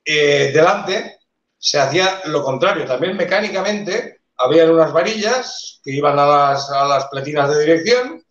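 A man on a video call explaining motorcycle engineering in a live debate, with many pauses and restarts. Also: fairly high pitch, animated, a bit bright. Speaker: engineer panelist. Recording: roomy, dull video call audio.

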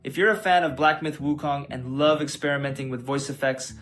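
A man with a medium-pitched voice hosts a tech tutorial. He narrates in a scripted, explanatory style with some music playing behind him. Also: brisk. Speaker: tech channel host.

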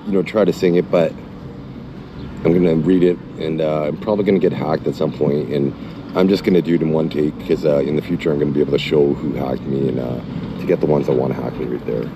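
Middle-aged man, extremely low voice, halting delivery with constant fillers, noisy phone recording. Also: fairly even intonation. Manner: halting, many fillers and restarts. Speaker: channel owner. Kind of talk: rambling commentary, half-chanted lyric reading. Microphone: phone mic.

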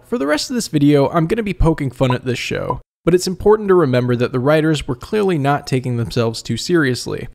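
A man hosts a scripted comedic review in a fairly high voice with some creak in it. His delivery is very animated, wry and conversational. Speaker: video essay host and reviewer.

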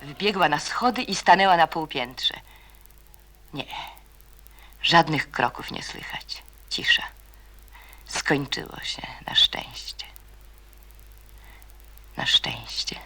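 Slow, husky, creaky male narrator. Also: a little high. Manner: hushed, reflective. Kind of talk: dramatic literary narration.

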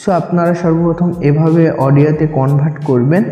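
A man's voice: fairly high pitch, fairly even intonation, moderate pace, warm tone, highs cut off. A man with a Bangladeshi accent giving an instructional, step-by-step app tutorial voice-over, repeating his directions as he goes. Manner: instructional, repetitive. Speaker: tutorial presenter. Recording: echoey, reverberant room.

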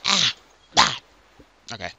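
A young man hosting a casual video game walkthrough, talking in a halting way over game music. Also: medium pitch, big pitch swings, vocal fry, bright, forceful tone, husky. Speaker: gameplay video host.

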